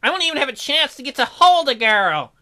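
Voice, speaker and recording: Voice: sits high, very animated, a little fast, pushed and bright, pitched above the performer's own voice, exaggerated voice. A performer voicing a needy, self-pitying male bear in a visual novel, whining and wailing melodramatically. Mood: wailing despair. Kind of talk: visual novel character reading.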